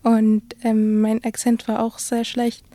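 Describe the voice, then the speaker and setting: Hesitant young woman, soft dry voice. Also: fairly high pitch, monotone, takes it slowly, no echo. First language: Arabic. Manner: hesitant, many fillers and restarts. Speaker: guest sharing her experience. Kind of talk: personal account in a discussion.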